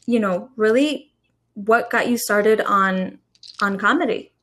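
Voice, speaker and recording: A woman's voice: mid-range voice, slow, fairly bright tone, a little hiss or hum. A woman hosting a casual interview, asking friendly questions with many fillers. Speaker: podcast host.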